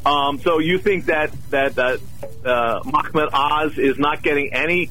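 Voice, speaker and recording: Combative halting male caller. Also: on the high side, sharp tone, slight background noise, rough recording. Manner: combative, halting with repeated words. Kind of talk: call-in radio argument.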